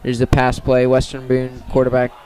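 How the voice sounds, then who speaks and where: A man doing live radio play-by-play of a high school football game in clipped, descriptive phrases. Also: completely flat delivery, normal speed, a little mellow. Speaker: play-by-play sports commentator.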